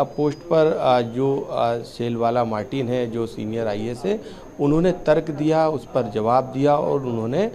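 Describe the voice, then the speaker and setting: Halting male news reporter, mellow voice. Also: medium pitch. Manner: halting, filler-heavy. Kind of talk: unscripted live news report.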